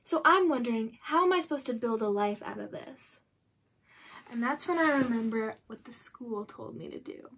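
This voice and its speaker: A young woman with a slightly high voice delivers a graduation speech full of dry, self-mocking jokes. She is recorded outdoors in a home garden on a close camera mic, with a faint hiss of outdoor ambience behind her. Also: normal speed. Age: young adult. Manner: deadpan self-mocking humor. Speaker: senior class speaker. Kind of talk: comic commencement speech.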